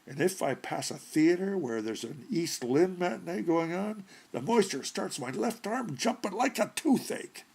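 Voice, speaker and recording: Male narrator as irritable middle-aged man, curt, grumbling, gravelly, husky, storybook character. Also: high-pitched, lively intonation, normal speed, hardly any pauses, a little mellow, pitched above the performer's own voice, exaggerated voice. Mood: commiserating. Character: irritable, solid middle-aged bearded citizen with rheumatism. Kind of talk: character voice in short story reading.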